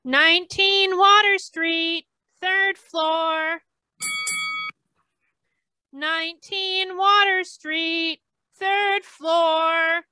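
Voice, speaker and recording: An actress playing an impersonal hospital dispatcher in a radio play, announcing deliberately and repetitively. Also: female voice, very high-pitched, fairly even intonation, sharp tone, slight background noise, exaggerated voice, low-quality recording.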